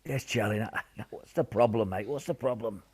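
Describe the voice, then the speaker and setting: A man's voice: on the low side, brisk, darkish tone, slightly rough. An actor playing a stoical, caring British father during an air raid in a radio play, matey and reassuring. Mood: concerned.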